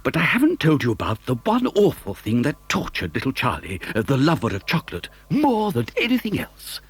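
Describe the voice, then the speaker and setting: A man's voice: mid-range voice, huge pitch swings, brisk, slightly rough. An actor playing an exuberant, boastful chocolate maker who tells a children's story theatrically, savouring his words. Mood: relishing, dramatic. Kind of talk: dramatised children's story narration.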